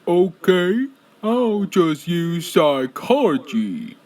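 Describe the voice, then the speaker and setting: A man plays a smug, excitable bear wise guy from a cartoonish stage show. The voice is pitched high and swings widely as it teases, over faint music. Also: extremely slow, heavily acted. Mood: coaxing. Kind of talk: cartoonish animatronic-show fandub.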